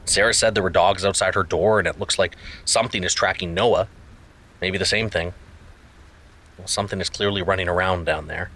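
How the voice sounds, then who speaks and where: An investigator in a fiction podcast, speculating aloud. His voice is fairly low yet bright and forceful, with a casual, skeptical delivery. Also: steady tone, brisk. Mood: intrigued, speculating.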